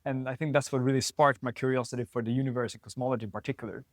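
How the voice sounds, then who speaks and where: A man in his twenties from northern Sweden, a physics PhD student, calmly explaining his research in an interview. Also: mid-range voice, rapid-fire, dry recording, studio quality. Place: lab building at a physics facility.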